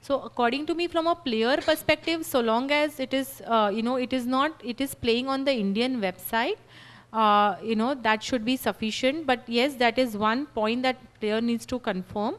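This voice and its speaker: A woman on a panel explains legal points in a measured, careful way. Her voice sits a little high, and she keeps checking in with her listeners as she talks. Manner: measured, explanatory, frequent "you know" and "right?". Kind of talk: panel discussion answer.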